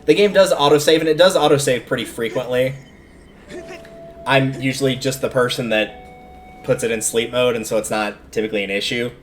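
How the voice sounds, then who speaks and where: A man's voice: medium pitch, normal speed, slight background noise. A man giving casual, chatty, opinionated live commentary as he streams a video game, rambling off into asides. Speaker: game livestreamer.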